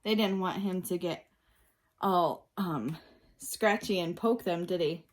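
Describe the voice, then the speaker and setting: A woman reading a picture book aloud like a teacher, expressively, pausing to ask and explain things simply for young children. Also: lowish voice. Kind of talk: read-aloud storytelling mixed with simple questions and explanations.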